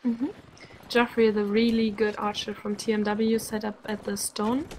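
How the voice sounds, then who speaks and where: A woman commentating a live online game match, chatty and quick to laugh, sounding a little sick. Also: mid-range voice, flat delivery, a little mellow, slight background noise. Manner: chatty, laughs often, uses "like" a lot. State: sick, slightly rough voice. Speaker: esports commentator.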